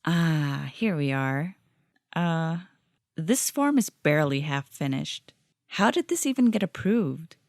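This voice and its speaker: A composed teenage schoolgirl, played by a woman, speaks in a low, even voice. She is calm and courteous with everyone. The recording sounds dry and close. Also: slow, no echo, exaggerated voice. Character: composed, helpful teenage girl student. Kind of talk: fan audio drama acting.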